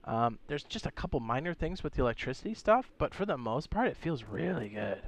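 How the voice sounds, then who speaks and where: A man streaming a game, chatting casually and reading viewer comments, hoarse with a sore throat and losing his voice, often clearing his throat. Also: medium pitch, sing-song, fairly quick. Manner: laid-back, slangy, frequent throat clearing.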